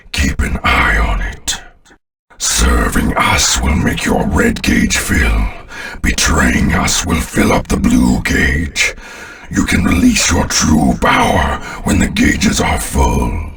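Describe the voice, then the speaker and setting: A sinister alien overlord speaks from the very bottom of the register, slow and imperious. The voice is gravelly and sounds a little distant, dripping with contempt like a commanding video game villain. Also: male voice, very deep voice, a bit bright, full character voice. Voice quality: gravelly, growling.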